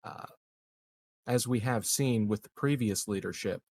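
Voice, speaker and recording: Man playing a measured, evasive colony politician. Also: on the low side, a little mellow, slightly rough. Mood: guarded, persuasive. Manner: hesitant, hedging, measured politician's talk. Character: smooth, evasive, scheming male colony leader in science fiction. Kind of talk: improvised tabletop roleplay.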